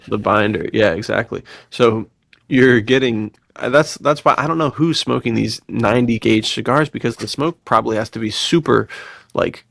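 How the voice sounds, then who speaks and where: A man's voice: mid-range voice, normal speed, creaky, a bit bright, dry recording, clean studio sound. A man chatting casually as a podcast co-host, enthusiastic and full of bro slang. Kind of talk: casual chat.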